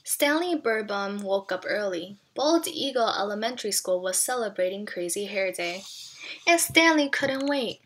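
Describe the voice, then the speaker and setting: Female teacher, bright clear-cut tone, expressive picture-book read-aloud with explanatory asides. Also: medium pitch, normal speed, rough recording. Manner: expressive, explains to young listeners with check-in questions.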